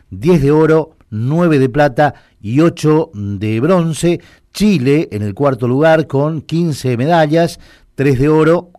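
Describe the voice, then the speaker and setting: A man's voice: medium pitch, darkish tone, no room sound, clean studio sound. A man presenting sports news on radio, reading medal results steadily with some fillers.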